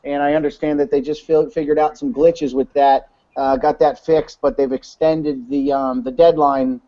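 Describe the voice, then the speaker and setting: A man talks through an online webinar in a casual, rambling way, stopping and restarting his sentences often. His voice is fairly high for a man and has a mellow, warm tone. The sound is dull and lo-fi, like a web call. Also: level tone, normal speed. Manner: rambling, many fillers and restarts. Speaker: webinar presenter.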